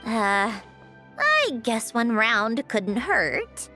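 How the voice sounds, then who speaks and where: A woman's voice: on the high side, wildly swooping pitch, extremely slow, pushed and bright, faint music behind, heavily acted. A woman voicing a prickly, dry-witted young woman in a game, curt and sometimes flustered. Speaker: game character.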